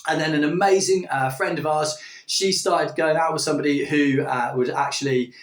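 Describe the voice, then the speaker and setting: A man's voice: fairly high pitch, fairly even intonation, normal speed. A man, talking casually in a vlog, enthusiastic and rambling with plenty of filler words. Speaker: language-learning YouTuber. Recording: echoey room, distant from the mic.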